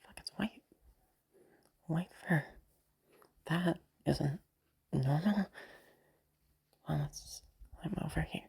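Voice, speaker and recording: Someone putting on a husky, breathy, proud woman inventor. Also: medium pitch, slow pace, long pauses, a little mellow, full character voice. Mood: wary, hushed. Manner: muttering to self, sighing, sweary self-argument. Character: proud, frustrated young woman inventor out hunting in snow. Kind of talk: dramatic audio roleplay monologue.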